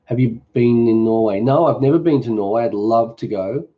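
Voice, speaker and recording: A middle-aged Australian man hosting a cooking channel, reading and answering viewer questions in a relaxed, rambling, joking way during a live stream. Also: mid-range voice, normal speed, narrow, tinny audio.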